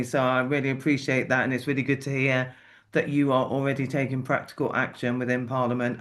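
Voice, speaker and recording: A man's voice: medium pitch, fairly bright tone. A man chairing a political rally, thanking speakers and introducing the next one in an appreciative way with fillers.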